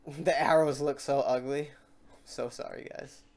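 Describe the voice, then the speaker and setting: A man hosting a casual, improvised software tutorial, thinking aloud in an informal, self-deprecating way. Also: medium pitch, steady tone, a little slow. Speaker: tutorial host.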